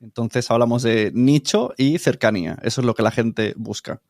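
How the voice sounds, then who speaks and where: A man's voice: medium pitch, normal speed, a little mellow, no echo. Male podcast guest with an accent from Spain, mid-range, answering casually in a very dry recording.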